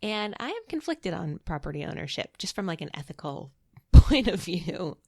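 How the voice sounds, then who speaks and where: A woman's voice: on the low side, sing-song, moderate pace, creaky, warm tone. A woman from Massachusetts, a guest in a casual interview, talking chattily and often laughing. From Massachusetts, USA.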